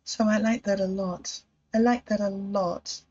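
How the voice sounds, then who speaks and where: A middle-aged woman hosting a livestream, telling personal stories in a slow, thoughtful, reflective way. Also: mid-range voice, fairly even intonation, a little mellow. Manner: slow, thoughtful storytelling with emotional quotes. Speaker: livestream host. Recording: dull lo-fi livestream audio.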